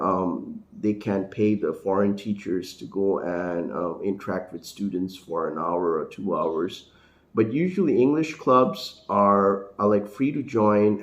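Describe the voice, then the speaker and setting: A man's voice: lowish voice, roomy sound, highs cut off. A man from India with a neutral American accent, an English teacher talking casually to camera, rambling with lots of fillers and restarts. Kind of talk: casual talking-head vlog.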